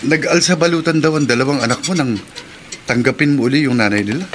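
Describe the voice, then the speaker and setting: A man's voice: mid-range voice, fast, background noise, natural voice. A man playing an inquisitive, concerned middle-aged friend in a radio drama, with a Filipino accent.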